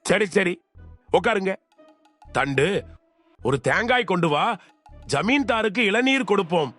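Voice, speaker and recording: A man playing a sly, greedy swindler in an animated cartoon, talking in a scheming, swaggering way. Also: sits high, unhurried, soft background music. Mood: wheedling. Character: sly greedy male swindler selling temple land. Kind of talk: animated cartoon dub acting.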